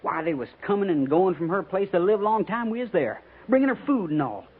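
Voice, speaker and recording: An actor playing a nervous, grumbling frontier trooper with a folksy rustic American accent in a 1950s radio western. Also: male voice, high voice, brisk, breathy edge, narrow, tinny audio, exaggerated voice. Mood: incredulous. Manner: folksy, complaining, excitable. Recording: thin, faintly noisy 1950s radio recording.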